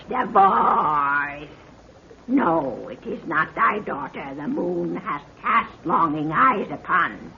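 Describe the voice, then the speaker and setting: An actress playing an ancient, cackling prophetess who speaks in drawn-out archaic riddles in an old radio adventure serial. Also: female voice, on the low side, sharp tone, heavily acted. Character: ancient cackling prophetess of a hidden underground world. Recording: noisy, muffled old radio recording.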